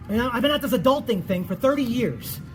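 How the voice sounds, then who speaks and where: A man playing an anxious, cynical middle-aged husband in a comedic stage play, stammering and self-deprecating. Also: very high-pitched. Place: theatre stage at a festival.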